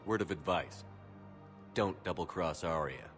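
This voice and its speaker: An actor playing a stoic, tough military commander in a video game, blunt and controlled. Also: male voice, deep voice, unhurried, a bit bright, soft background music. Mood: firm.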